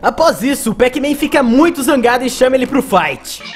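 A young Brazilian-accented man with a high voice narrates a video game. The style is casual storytelling, with music playing behind him. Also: lively intonation, a little fast. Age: young adult.

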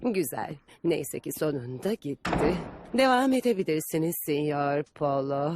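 A woman's voice: sits low, expressive, measured pace, background noise. A woman playing a bold, witty, defiant lady in a dubbed cartoon, teasing and self-assured. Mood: satisfied.

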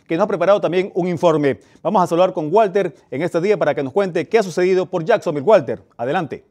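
A man presenting the news from a TV studio in a formal, steady way. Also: sits high, fast talker. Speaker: news anchor.

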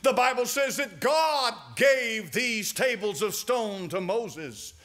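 High, bright-voiced fervent older preacher. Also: male voice, animated, takes it slowly. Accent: American. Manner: fervent, rising to shouts. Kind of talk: sermon. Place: church sanctuary. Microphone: pulpit mic.

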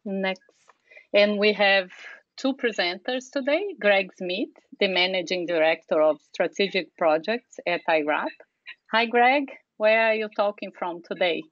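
A woman's voice: mid-range voice, measured pace, dry recording. A woman moderating a webinar, announcing in a friendly, straightforward way over a remote call microphone. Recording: dull, dry call audio.

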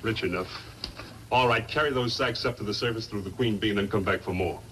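Actor as fast-talking radio western henchman. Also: fairly low pitch, close to an everyday voice. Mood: brisk, dismissive. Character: rough hired henchman, mine foreman and cocky marksman. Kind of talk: 1950s radio western acting. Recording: noisy vintage 1950s radio recording.

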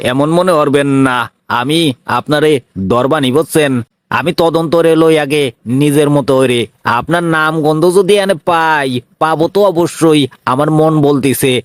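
A man's voice: a little high, no room sound, lightly acted. A man voicing a brash, bullying cartoon police inspector, blunt and threatening, with a Bangladeshi regional Bengali accent. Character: brash, bullying male police inspector, tough and self-righteous. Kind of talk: comic cartoon dialogue.

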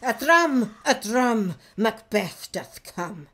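Woman as a slow, chanting witch. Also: on the high side, very animated, pushed and bright, slight background noise, exaggerated voice. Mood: eerie chanting. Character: ominous female witch chanting prophecies. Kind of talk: classical radio drama acting.

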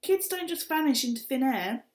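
A woman's voice: high-pitched, animated, moderate pace, pitched above the performer's own voice. A woman voicing a shifty, wary adult male stranger in an audiobook, evasive and defensive. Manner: evasive, defensive, streetwise slang. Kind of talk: audiobook character reading. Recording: roomy echo.

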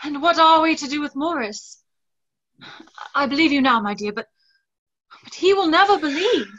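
High-voiced, earnest young gentlewoman woman acting. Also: animated, normal speed. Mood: worried. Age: young adult. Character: tender, earnest young gentlewoman in a period play. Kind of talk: online play reading. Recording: slightly dull video-call audio.

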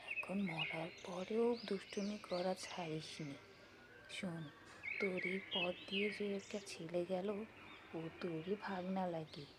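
A woman's voice: on the low side, very noisy, natural voice. A woman playing an anxious, doting village grandmother who fusses and scolds in a folk-tale cartoon.

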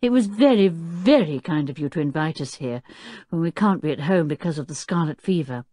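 A woman's voice: sits low, expressive, fairly quick, mellow, dry recording. A woman voicing a polite, earnest, well-mannered teenage boy in a storybook.

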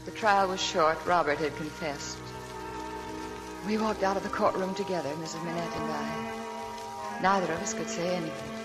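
An actress playing a gentle, devoted young wife in a 1940s radio drama, soft and earnest as she narrates. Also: fairly low pitch, moderate pace, lightly acted, rough recording. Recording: old 1940s radio broadcast with music.

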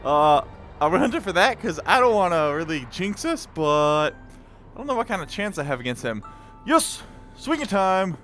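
A man giving excited let's-play commentary in a playful, exclamatory way, breaking into goofy sound effects and sing-song. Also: high-pitched, moderate pace. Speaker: gameplay video host. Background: video game music.

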